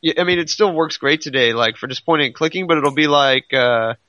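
A man's voice: on the high side, a little fast, sharp tone, no room sound, dull audio. A man chatting casually about video games as a podcast co-host, explaining things in a rambling way and often interrupting himself.

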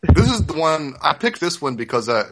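A man's voice: a little high, big pitch swings, a little fast. A man hosting a podcast in casual, informal chat. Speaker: podcast host.

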